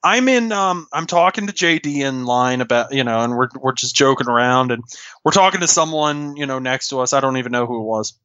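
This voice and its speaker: A man with a loud voice telling anecdotes in casual, excitable chat as a podcast guest. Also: mid-range voice, brisk, no room sound. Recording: dull, lo-fi microphone.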